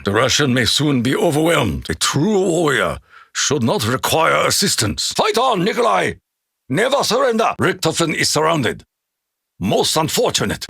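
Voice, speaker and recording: An actor playing a proud, honor-bound Japanese warrior in a video game, with a Japanese accent, gravelly husky voice and solemn, formal, declamatory delivery. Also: male voice, medium pitch, pushed and bright, no room sound, clean studio sound, heavily acted. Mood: rallying shout. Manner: solemn, declamatory, formal clipped phrasing with dropped articles. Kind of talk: theatrical video game voice acting.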